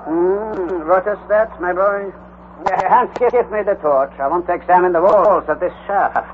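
A man's voice: high-pitched, measured pace. An actor playing a commanding older male scientist, speaking in a theatrical, authoritative way. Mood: musing. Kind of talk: 1940s radio acting. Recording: tinny old radio broadcast with faint hiss.

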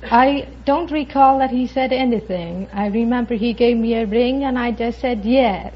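A woman from Iceland answering a quiz host in a soft-spoken, matter-of-fact way. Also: a little high, moderate pace, a crowd in the background. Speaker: quiz show contestant. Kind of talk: interview answers. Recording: muffled old broadcast.

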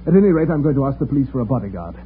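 A man playing a concerned, decisive gentleman in an old radio drama, with an earnest and urgent manner. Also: medium pitch, sing-song, rapid-fire, over loud music, close to an everyday voice. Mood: firm, protective. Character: concerned, decisive gentleman, protective male confidant. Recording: tinny old radio recording, faint noise.

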